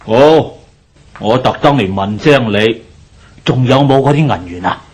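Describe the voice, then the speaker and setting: An older creditor with a Hong Kong Cantonese accent and a middle-pitched voice. He nags and lectures like a man owed money, sighing and pressing each point in radio drama fashion. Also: animated, unhurried, slightly rough. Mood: coaxing, pressing. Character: older male creditor, nagging and pushy.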